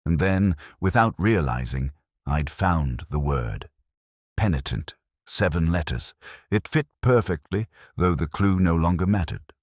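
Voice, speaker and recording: A middle-aged man narrating a first-person detective story in a brooding, measured, literary way. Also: low voice, lively intonation, no room sound, clean studio sound.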